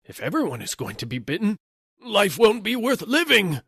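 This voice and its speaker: A man's voice: high-pitched, wildly swooping pitch, moderate pace, creaky, fairly bright tone, slightly rough, no room sound, studio quality, voice pushed up, full character voice. A man voicing an aggrieved workman in an audiobook, whining and pleading in an indignant, wheedling way. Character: aggrieved, whining male workman seeking damages. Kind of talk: audiobook character acting.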